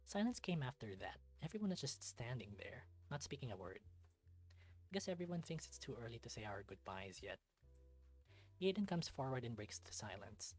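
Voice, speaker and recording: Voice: medium pitch, big pitch swings, moderate pace, darkish tone, faint music behind. A let's-play host reading a visual novel aloud, moving between storybook-like narration and casual commentary.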